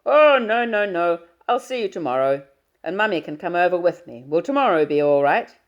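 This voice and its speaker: A woman reading aloud and voicing a cheerful middle-aged father, with a jovial, brisk and booming manner. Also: expressive, lo-fi sound, lightly acted. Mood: hearty.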